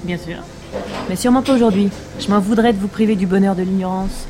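An actress playing a mysterious, cheeky woman in a French audio drama, with a brisk, ironic, teasing delivery. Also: mid-range voice, lightly acted. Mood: teasing irony. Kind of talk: French audio fiction acting.

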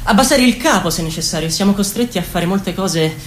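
A woman acts out an anxious, self-effacing male official in a theatrical radio play. The delivery stammers and hesitates, with lively swings of pitch, in an echoey room with noise behind. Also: brisk, lightly acted. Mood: nervous, submissive. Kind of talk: theatrical radio drama monologue.

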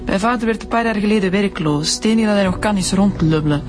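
A woman's voice: mid-range voice, quick pace, music in the background, lightly acted. An actress playing a frank, hard-done-by young cleaning girl with a Flemish accent in a radio drama. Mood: sad, bitter. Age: young adult.

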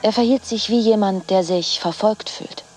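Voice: mid-range voice, moderate pace, soft background music, natural voice. An actor playing a grown stepchild who looks back reflectively in a radio drama interview.

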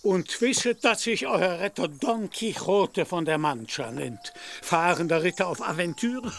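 Slow, pompous knight-errant. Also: male voice, high voice, expressive, gravelly, a bit bright, exaggerated voice. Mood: grandly courteous. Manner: pompous, archaic, grandiloquent, measured delivery. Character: proud, deluded, gallant self-styled knight-errant. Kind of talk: radio play acting.

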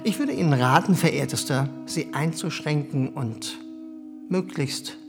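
An actor playing a cynical, condescending middle-aged German privy councillor in a radio play, with smooth talk and hesitant fillers. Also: male voice, a little high, a little slow, music in the background.

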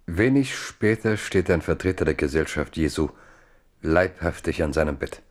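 Guy narrating a radio drama, low voice, scripted literary storytelling. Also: moderate pace.

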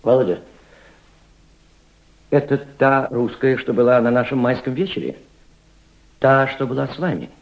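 An actor playing a kindly, thoughtful diplomat in a Soviet radio play, with measured theatrical delivery. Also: male voice, medium pitch, lively intonation, gravelly. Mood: concerned, grave. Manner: theatrical, measured, addresses his companion gently. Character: kindly middle-aged Soviet diplomat, fatherly, thoughtful, resolute. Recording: muffled archival radio drama recording, music behind.

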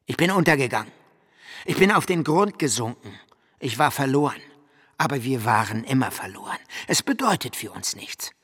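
An actor playing an insistent, desperate drowned wanderer who speaks in halting fragments in a radio play. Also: male voice, mid-range voice, very animated, fairly bright tone, husky, no echo, studio quality. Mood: insistent, pleading. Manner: halting, fragmented, rambling recitation, sometimes dropping to whisper. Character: insistent, desperate drowned wanderer demanding to tell his story. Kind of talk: German radio play acting.

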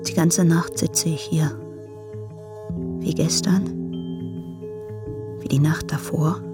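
An actress playing a lonely, despairing young woman who confesses in letters in a radio drama, with a husky, halting, subdued delivery. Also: low-pitched, fairly even intonation, over loud music. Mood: despairing, numb. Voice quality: breathy, husky with creaky edges.

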